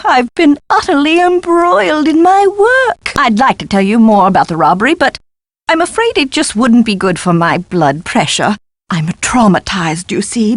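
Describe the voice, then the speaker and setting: A woman's voice: high-pitched, dry recording. An actress playing an eccentric, fussy woman scholar in an adventure game, with gushing, pompous, theatrical delivery and precise diction. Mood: guarded, fussy. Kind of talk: scripted video game dialogue.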